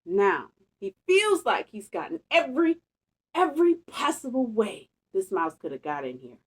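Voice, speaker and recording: Woman, storytime reader, higher-pitched voice, very lively intonation, expressive read-aloud, chatty asides. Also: moderate pace, husky. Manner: animated, chatty, savouring phrases.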